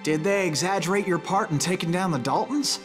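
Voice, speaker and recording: A man's voice: sits high, brisk, lightly acted. An actor voicing an eager, hero-worshipping young man in a Western game, narrating earnestly and dramatically with an American accent. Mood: eager correcting. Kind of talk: scripted in-character narration. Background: music.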